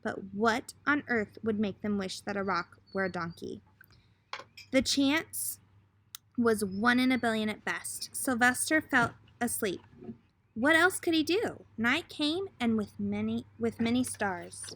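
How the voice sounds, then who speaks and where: A woman reading a picture book aloud to children in an expressive, dramatic way with pauses. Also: fairly high pitch, slight background noise. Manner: expressive, asks listeners questions, dramatic pauses. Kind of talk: children's story read-aloud.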